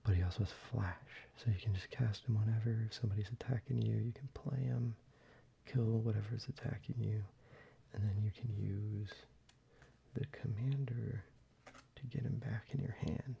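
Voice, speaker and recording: A man speaking softly, mostly in a whisper, casually showing cards for an ASMR video, with fillers and small pauses. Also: on the low side, fairly even intonation, vocal fry, highs cut off, slight background noise. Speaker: ASMR creator. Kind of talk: soft-spoken ASMR card showcase.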